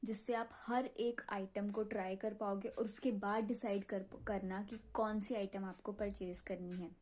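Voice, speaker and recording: Young north indian lady doing a casual vlog chat, soft mellow tone, pretty flat pitch, informal. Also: measured pace. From Punjab, India. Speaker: video creator selling clay. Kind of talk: casual chat to subscribers, Hindi mixed with English.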